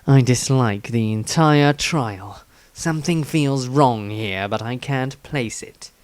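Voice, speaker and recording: A man's voice: mid-range voice, slow, clean tone, no echo, natural voice. A man voicing a sardonic, grudging male adventurer with a sneering manner in a fantasy story reading. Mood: uneasy.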